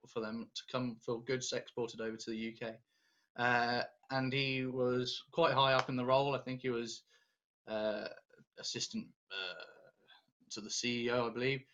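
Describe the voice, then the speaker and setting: A man talks casually as a guest on an interview. His mid-pitched voice comes through a little dull and lo-fi. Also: fairly even intonation, measured pace, a bit bright. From England, born in Denmark. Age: 20s. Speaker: interview guest, business graduate. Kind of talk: casual chat.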